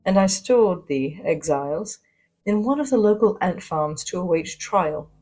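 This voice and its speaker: A woman playing a witty, self-assured spy and socialite, narrating her own adventure with arch, wry, theatrical pauses. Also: fairly low pitch, expressive, mellow. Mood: wry, amused. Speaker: narrator of an audio drama. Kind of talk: first-person scripted dramatic narration.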